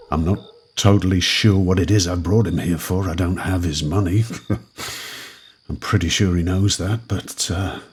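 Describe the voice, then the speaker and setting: A man's voice: low voice, darkish tone, husky, full character voice. An actor playing a nervous, desperate man in debt who stammers and pleads in an audio drama.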